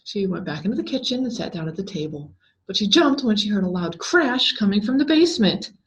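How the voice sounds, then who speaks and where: A woman reading a scary story aloud as a dramatic, expressive scripted narration full of suspense. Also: mid-range voice, fairly quick, low-quality recording. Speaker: story reader.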